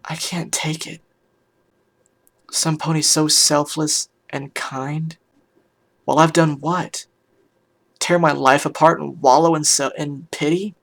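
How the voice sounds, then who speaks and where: A man voicing a heartbroken, weary pony musician in a hushed, halting, emotional first-person story reading. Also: fairly high pitch, little pitch movement, vocal fry, full character voice. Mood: tearful, confused. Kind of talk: dramatic first-person fan fiction reading.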